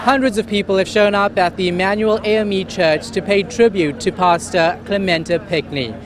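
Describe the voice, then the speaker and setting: A man's voice: sits high, steady tone, moderate pace, crowd noise. A man presenting broadcast news as an anchor and reporter, in a scripted delivery.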